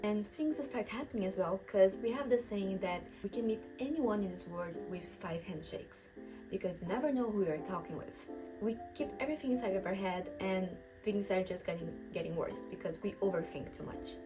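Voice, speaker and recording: An encouraging young woman from Brazil speaks English with a Brazilian accent, her mid-range voice earnest and candid. She chats to the camera in a relaxed vlog, with clear music playing underneath. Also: medium pitch, brisk, low-quality recording. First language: Portuguese. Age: 20s. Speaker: vlogger. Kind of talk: casual advice vlog to camera. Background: background music.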